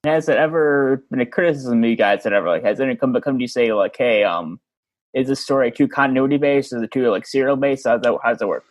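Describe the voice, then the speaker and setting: A man's voice: medium pitch, quick pace, no echo, rough recording. A man hosting a casual interview, asking loosely phrased, informal questions. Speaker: interviewer, podcast host.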